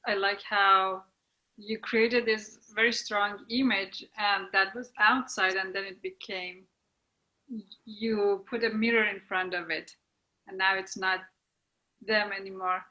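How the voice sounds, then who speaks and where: Female discussion participant, bright forward voice, measured and appreciative, video call. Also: mid-range voice, fairly even intonation, muffled recording. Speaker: discussion participant giving feedback. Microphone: computer video call.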